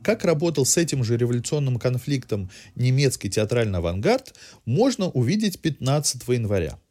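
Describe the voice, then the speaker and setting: A man presents scripted culture news as a podcast host. His pitch is mid-range and moves in lively swings, with articulate, literary phrasing throughout. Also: a little fast.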